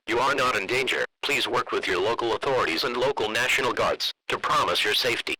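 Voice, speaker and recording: Man acting as a husky, forceful emergency official. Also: mid-range voice, no room sound, heavily acted, low-quality recording. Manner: solemn, measured, declarative. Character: stern government official delivering wartime emergency addresses and alerts. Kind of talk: formal scripted emergency broadcast announcement.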